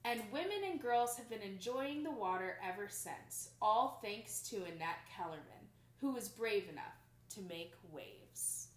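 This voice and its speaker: A young adult woman reading a picture book aloud to children in an expressive, emphatic storytelling manner. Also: medium pitch, unhurried. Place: small plain indoor room. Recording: roomy indoor echo.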